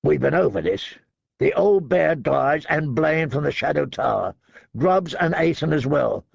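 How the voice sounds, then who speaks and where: A man's voice: on the high side, animated, a little slow, creaky, husky, no room sound, muffled recording, exaggerated voice. A man voicing a bitter, resentful kennel hand in an audiobook, snarling and sour. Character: bitter, boil-faced male kennel hand.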